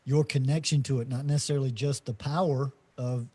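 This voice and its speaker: A middle-aged man co-hosting a podcast, talking slowly and reflectively in a casual spiritual chat, with many fillers and restarts. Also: medium pitch, steady tone, dark tone, slightly rough. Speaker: podcast co-host.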